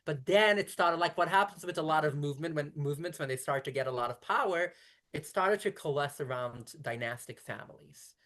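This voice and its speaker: A woman in her thirties from Brooklyn whose first language is Yiddish, speaking as a rabbi in a conversational interview and explaining at length in quick, self-correcting runs. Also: sits low, fairly bright tone. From Brooklyn, New York. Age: 30s. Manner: talks quickly in long explanatory runs with frequent restarts.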